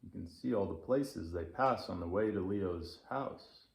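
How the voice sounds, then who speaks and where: A man's voice: low-pitched, measured pace, gravelly, a little hiss or hum. A man narrating a children's story as a storyteller. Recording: roomy echo.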